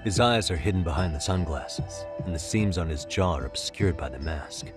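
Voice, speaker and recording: A man's voice: sits low, animated, normal speed, music in the background. A man playing a composed private investigator in an English-dubbed crime game, matter-of-fact and probing. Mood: probing, serious.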